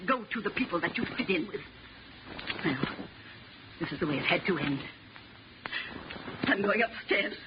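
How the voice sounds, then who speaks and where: A woman's voice: on the low side, lively intonation, normal speed, pauses often, lightly acted. A woman playing a cold, haughty, nagging society mother in a 1950s radio drama, with an imperious, fussy manner. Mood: cold, indignant. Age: middle-aged or older. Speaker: supporting actor. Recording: muffled old radio recording, faint hiss.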